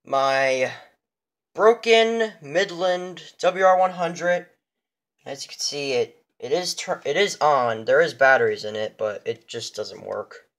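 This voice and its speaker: A man giving a casual show-and-tell as a vlogger, rambling and halting as he goes. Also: on the high side, a bit bright. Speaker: vlogger showing a radio collection. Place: indoors in a room at home.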